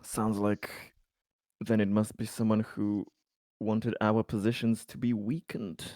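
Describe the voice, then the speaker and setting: A man's voice: fairly low pitch, flat delivery, unhurried, dark tone, no room sound. A man chatting casually as a player in a tabletop game.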